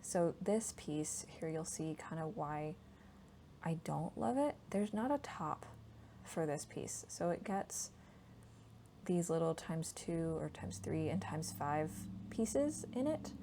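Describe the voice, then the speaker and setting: A woman doing a casual, soft-spoken ASMR voiceover, hushed, gentle and informal, breathy at times. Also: sits low, takes it slowly. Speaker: host of a board game ASMR channel. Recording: steady background noise from a laptop. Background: laptop fan noise.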